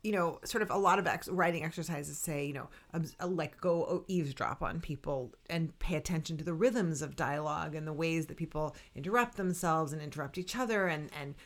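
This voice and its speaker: A woman's voice: sits low, brisk, hardly any pauses. A woman co-hosting a casual chat, rambling and laughing easily as she talks. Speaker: podcast co-host.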